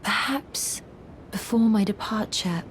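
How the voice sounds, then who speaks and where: An actress playing a frail, courteous, melancholy young woman in a video game, with a soft breathy voice and hesitant, formal delivery. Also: medium pitch, slow, slight background noise, natural voice. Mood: wistful farewell.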